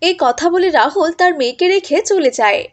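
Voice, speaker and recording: Very high-pitched woman storyteller. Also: animated, fairly quick. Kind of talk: scripted narration of a cartoon moral story.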